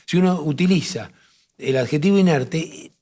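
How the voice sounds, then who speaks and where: A man with a Rioplatense accent explaining poetry and translation in a measured talk-show conversation, often restarting his phrases. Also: fairly high pitch, animated, dark tone. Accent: Rioplatense Spanish. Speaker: literature professor on a TV talk show.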